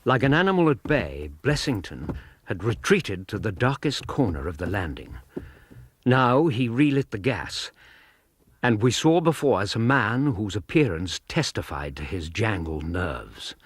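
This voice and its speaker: An actor playing a gentleman companion who narrates a detective tale, English, husky, with measured literary delivery. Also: fairly low pitch, no echo, heavily acted. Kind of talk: dramatic scripted narration.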